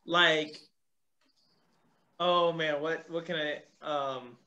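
Slow, bright high-voiced male interviewer. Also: steady tone. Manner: hesitant, groping for words with many ums. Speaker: podcast co-host. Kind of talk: casual interview questions. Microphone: webcam on a video call.

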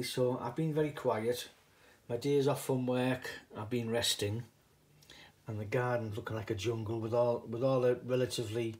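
A man's voice: mid-range voice, darkish tone. A middle-aged man with a northeast English, Teesside accent, talking casually and rambling a little as he hosts an unscripted hobby vlog. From northeast England (Middlesbrough). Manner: rambling, digressive. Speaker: video host, hobbyist coin stacker.

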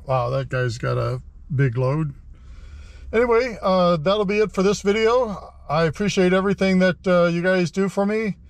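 A middle-aged man talks through his day at a scrapyard in an easygoing, rambling way, stopping on fillers and fixing his own slips. His voice sits slightly higher than most men's. He is recorded on a camera worn on his body. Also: normal speed, no echo. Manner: easygoing, rambling, frequent uh fillers and self-corrections. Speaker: scrap metal vlogger. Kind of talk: casual first-person vlog narration. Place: outdoors at a scrapyard, then inside a car.

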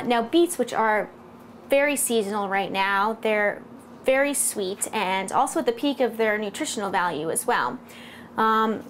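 An American woman in her thirties presents a recipe in a home kitchen. Her voice is high and moves melodically up and down as she explains each step. There is clear background noise behind her lapel-miked speech. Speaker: cooking host, food blogger. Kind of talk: recipe demonstration to camera.

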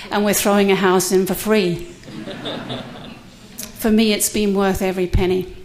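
A middle-aged woman, speaking candidly and with light humor as a panelist at a university conference, at a podium microphone in a lecture room. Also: mid-range voice. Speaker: conference panelist, cohousing resident. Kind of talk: prepared talk at a conference.

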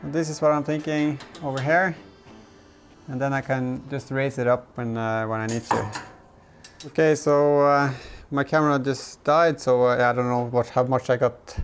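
A man's voice: mid-range voice, fairly even intonation, normal speed, soft background music. A man in his thirties giving casual, hesitant hands-on commentary, thinking aloud as he works in a garage workshop. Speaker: car DIY vlogger. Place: garage workshop and inside the back of a car.

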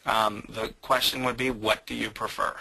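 Man, webinar presenter, very bright tone, husky, dry sound, halting with fillers. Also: lowish voice, level tone, no room sound, low-quality recording. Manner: hesitant, many um fillers. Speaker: software presenter answering questions. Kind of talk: webinar Q&A answers.

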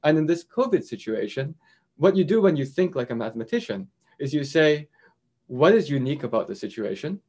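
A man in his thirties giving an animated online keynote lecture over a video call, explaining step by step. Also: on the high side, a little fast. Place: indoors in front of a projected slide screen.

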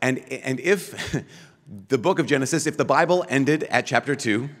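Animated priest speaking in a big hall. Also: male voice, medium pitch, moderate pace. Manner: animated, gesturing, with many restarts and rhetorical questions. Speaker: priest giving a keynote talk. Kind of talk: lively conference keynote. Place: large conference hall, at a podium. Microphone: podium microphone.

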